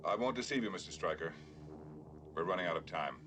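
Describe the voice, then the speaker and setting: Low-voiced, solemn older doctor. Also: male voice, a little fast, noisy background. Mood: grave. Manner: solemn, deadpan. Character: grave, deadpan older male doctor. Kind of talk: deadpan film comedy acting.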